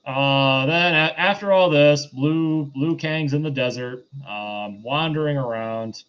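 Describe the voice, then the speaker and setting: A man's voice: medium pitch, smooth. Man rambling casually, slightly muffled lo-fi sound. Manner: rambling, halting, conversational. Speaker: podcast host reviewing a film. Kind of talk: casual, unscripted movie recap. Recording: dull, lo-fi voice recording.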